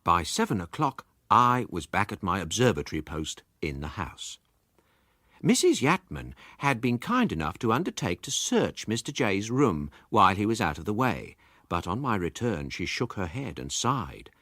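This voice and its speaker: A man's voice: moderate pace, no echo, clean studio sound, heavily acted. An actor playing a pompous, self-satisfied amateur detective, narrating his report in a precise, theatrical manner. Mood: eager, officious. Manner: pompous, precise, highly expressive. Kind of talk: theatrical first-person story narration.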